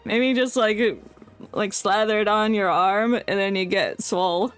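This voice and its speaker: A woman's voice: medium pitch, fairly even intonation, a little slow, clean tone. A woman streaming a game, giving casual, sarcastic and jokey live commentary. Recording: game music behind the voice.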